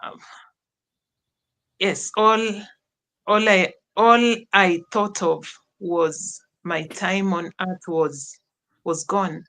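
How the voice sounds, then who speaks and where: A woman with a Kenyan accent speaks as a guest in an interview, telling her story slowly. Her voice sits fairly low, and she halts often, filling gaps with "uh" and starting phrases over. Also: steady tone, a bit bright. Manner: slow, halting, many "uh" pauses and restarts. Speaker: guest, teacher. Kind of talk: personal testimony in an interview.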